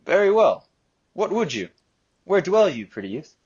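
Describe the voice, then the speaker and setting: A man acting an earnest, wry, lovesick young gentleman in a Shakespearean radio play, husky, on a lo-fi recording. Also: high voice, very animated, normal speed, exaggerated voice.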